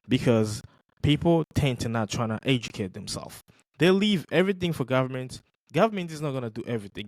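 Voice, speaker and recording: Animated man, dry close sound. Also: mid-range voice, dry recording. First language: not English. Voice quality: animated, emphatic, repeats himself for stress. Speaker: podcast host reacting to clips. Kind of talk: casual commentary.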